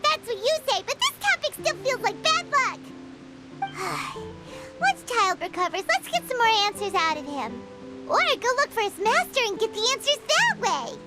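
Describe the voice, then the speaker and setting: A woman's voice: very high voice, very animated, normal speed, over loud music. A woman voicing a small, chirpy, childlike fairy companion in a video game, earnest and chatty. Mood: resolved. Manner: chatty, speaks of herself in third person. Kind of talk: video game character dialogue.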